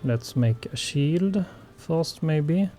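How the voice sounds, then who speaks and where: A Swedish-born man talks through his survival gameplay at an unhurried pace, pausing often on ums and uhs. His voice is mellow and mid-pitched. Faint game music plays underneath. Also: expressive. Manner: slow, hesitant, many fillers. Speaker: gaming channel host. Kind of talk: relaxed gameplay commentary.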